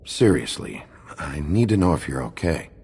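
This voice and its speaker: An actor playing a gruff, weary sheriff with a terse, deadpan manner in a video game drama. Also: male voice, extremely low pitch, normal speed, a little hiss or hum, exaggerated voice. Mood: concerned, dry. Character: gruff, weary male sheriff, a wolf in human guise.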